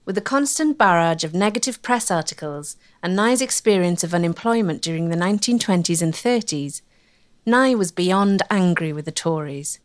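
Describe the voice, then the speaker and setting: A woman reads scripted documentary narration in a measured, articulate way. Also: mid-range voice.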